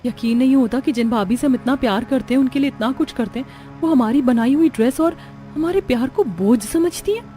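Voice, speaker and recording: A woman voices characters in a cartoon family drama. Her tone is earnest and a little pleading, pitched somewhat high. Faint background music runs under the dialogue. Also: brisk, warm tone.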